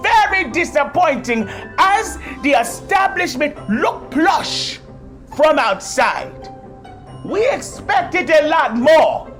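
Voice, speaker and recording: A woman's voice: high voice, very animated, slow, a bit bright, soft background music. A woman giving a theatrical, exaggerated dramatic reading of a written review.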